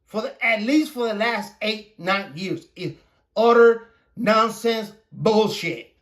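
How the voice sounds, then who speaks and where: A man with a fairly high voice talks at a slow, halting pace. He is a native Spanish speaker giving a blunt, opinionated podcast rant. His delivery is casual and repetitive, peppered with swearing. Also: expressive, fairly bright tone. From Puerto Rico. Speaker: podcast host.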